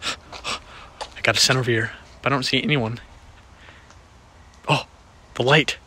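A man's voice: mid-range voice, fairly even intonation, unhurried, pushed and bright, slight background noise. Man playing a dogged, muttering beast on the hunt. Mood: searching, intent. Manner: repetitive muttering to himself. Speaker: puppeteer voicing a character. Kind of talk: improvised home puppet film dialogue.

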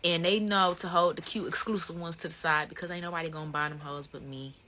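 Bright-voiced young American woman, slangy livestreamer. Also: lowish voice, quick pace. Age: 20s. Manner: animated, slangy, playful. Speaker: livestream host. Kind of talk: casual livestream chat. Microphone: phone at close range.

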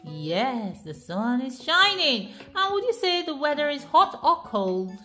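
Lively, high-pitched mother reading to a child. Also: a little slow, soft background music. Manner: encouraging, prompting with questions, echoing answers. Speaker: mother reading a picture book with her child. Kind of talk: read-aloud with questions to a young child.

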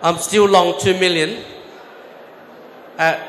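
A man's voice: sits high, fairly even intonation, takes it slowly, a bit bright, a little hiss or hum. A middle-aged man giving a live seminar talk through a handheld mic, explaining trades with examples and correcting himself as he goes. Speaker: conference speaker, former bank trader. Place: large expo hall stage. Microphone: handheld microphone. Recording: echoey hall with faint ambience.

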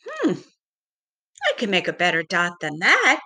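A woman voicing a stubborn, determined young schoolgirl from a storybook, with emphatic, drawn-out words. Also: lowish voice, wildly swooping pitch, pushed and bright, breathy edge, heavily acted.

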